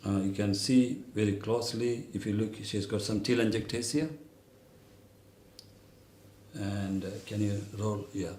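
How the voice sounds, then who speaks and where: A man speaking as a doctor, giving an unscripted clinical teaching demonstration in a hesitant, explanatory way with frequent pauses. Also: fairly low pitch, steady tone, darkish tone. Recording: roomy echo, faint background noise.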